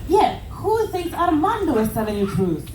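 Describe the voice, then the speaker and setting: A woman hosts a game show on a cruise ship stage, brisk and upbeat as she calls on players and the audience. Her pitch is lively and a touch high. She sounds distant in an echoey theater with a crowd murmuring behind her. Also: noisy background. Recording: echoey theater picked up from far off. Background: audience chatter and applause.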